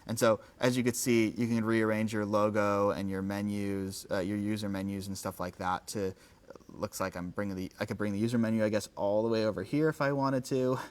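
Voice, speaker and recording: A man giving a casual live software demo, with an enthusiastic, informal, conversational manner. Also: fairly low pitch, fairly even intonation, a little fast. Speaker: company executive presenting a livestream.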